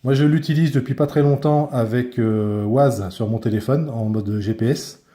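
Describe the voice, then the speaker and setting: A man's voice: medium pitch, normal speed, dark tone. A man giving a casual hands-on product review, speaking informally and often searching for his words.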